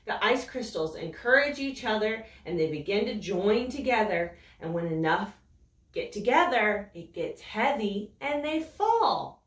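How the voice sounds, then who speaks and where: Slow, lively storytime woman, roomy echo. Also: medium pitch, recorded from a distance. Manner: slow, expressive, sing-song storytelling. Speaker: children's storytime reader. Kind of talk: reading a picture book aloud to children. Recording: roomy echo, voice set back from the mic.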